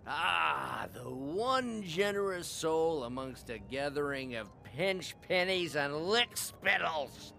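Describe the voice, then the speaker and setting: A man's voice: fairly high pitch, lively intonation, slow pace, vocal fry, hardly any pauses, sharp tone, slight background noise, heavily acted. A man playing a boisterous, sardonic drunk in a video game, speaking in a grandiloquent, theatrical and mocking way. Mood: effusive, grateful. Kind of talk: video game character acting. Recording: game music behind the voice.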